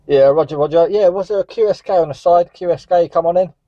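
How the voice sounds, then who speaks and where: A man's voice: high-pitched, fast talker, mellow, no room sound. A man chatting and calling for contacts as a CB radio operator. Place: static vehicle on a seafront headland. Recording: dull lo-fi CB radio recording.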